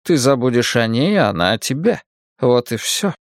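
A man voicing a languid, sardonic middle-aged male spymaster who drawls with slow irony. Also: mid-range voice, big pitch swings, no echo, clean studio sound. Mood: lofty. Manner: drawling and ironic, slow and lofty.

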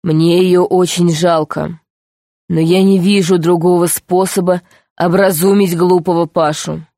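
A woman voicing a calm, mockingly sweet male underground tyrant in a storybook, speaking in a slow, deliberate, commanding way. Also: lowish voice, dry recording, clean studio sound, lightly acted. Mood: mock-sweet menace. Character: calm, menacing male underground ruler, mockingly sweet, power-hungry villain.

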